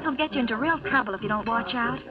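An actress playing a sweet, shy young waitress who talks softly and earnestly, in 1940s radio acting style. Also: female voice, fairly high pitch, fast talker, natural voice. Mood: flustered. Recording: dull old radio recording with music.

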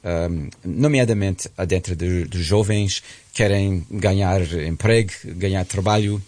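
Man, middle aged, really low voice, dry studio sound, stumbles and repeats himself giving opinions. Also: a little mellow, no echo. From United States. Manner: halting, with repeated words. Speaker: guest commentator on a radio programme. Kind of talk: conversational opinion in a radio discussion.

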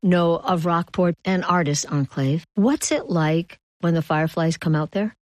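A woman hosting a public radio news program, introducing stories and interviewing guests in a measured, conversational way. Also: fairly low pitch, a little mellow, no room sound.

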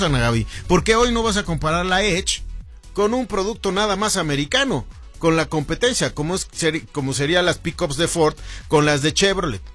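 A man's voice: on the high side, husky. A man, an automotive commentator co-hosting a car talk show, laying out his arguments with examples. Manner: explanatory, lays out arguments with examples.

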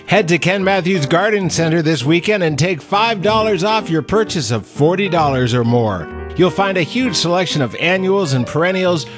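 A man reading scripted radio commercials in a smooth, polished way. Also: high voice. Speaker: commercial announcer. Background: music bed.

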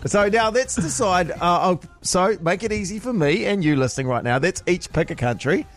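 A man's voice: high-pitched, lively intonation, moderate pace. Chatty New radio host man. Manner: chatty, laughs easily, lots of fillers. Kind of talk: casual morning radio banter.

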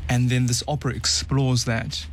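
A man who grew up speaking Tongan, an opera tenor being interviewed, chatting in a relaxed way and laughing easily. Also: mid-range voice, normal speed, a little hiss or hum. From New Zealand, Tongan family. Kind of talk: casual interview chat.

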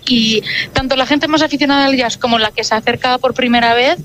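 A Basque-native woman, a jazz singer, answering interview questions in a relaxed, thoughtful way with many filler words. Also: high-pitched, a little fast.

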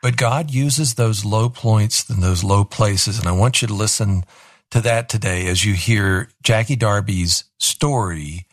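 Low-voiced conversational male host. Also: measured pace, no room sound, clean studio sound. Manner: conversational, preaching asides. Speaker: interview host. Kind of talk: faith interview hosting.

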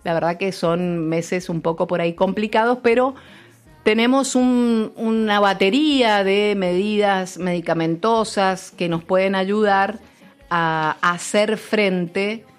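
Didactic woman explaining health. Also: mid-range voice. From Mendoza, Argentina. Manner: didactic, many restarts and repeated words. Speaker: health columnist on radio. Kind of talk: explanatory radio health segment.

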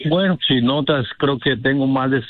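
A man's voice: medium pitch, moderate pace. Middle-aged man on a dull phone line. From Mexico. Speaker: electrician, prank call victim. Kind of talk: phone conversation. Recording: dull, band-limited phone line on radio.

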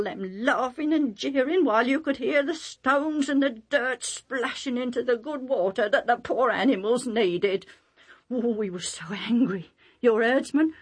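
Lady doing a worked-up herdsman servant in a kids story, rough country talk, high pitched, pleading. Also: heavily acted. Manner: rustic uneducated speech, emphatic and breathless pleading.

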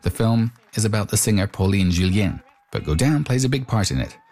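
Very deep-voiced male news reporter. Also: animated, a little mellow. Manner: measured, explanatory. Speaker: radio reporter. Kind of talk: scripted news narration.

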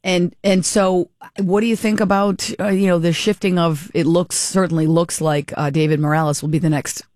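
A woman's voice: lowish voice, little pitch movement, normal speed, a little mellow, no room sound. Chatty woman radio host, dry studio. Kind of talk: casual radio interview.

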